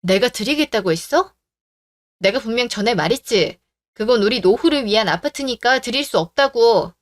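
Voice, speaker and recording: A polite young bride speaks gently to her elders but firmly to her fiancé, as a woman puts her on. Her voice is high and close, with no room sound. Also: lively intonation, moderate pace, no echo, lightly acted. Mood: upset. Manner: apologetic to elders, firm with fiancé. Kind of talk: radio drama acting.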